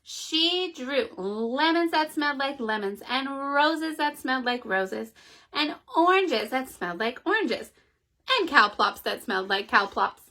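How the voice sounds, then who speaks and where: A mother of four reading a children's picture book aloud from a child's bedroom at home, in a friendly, expressive way. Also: high-pitched, unhurried. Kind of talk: children's story read-aloud.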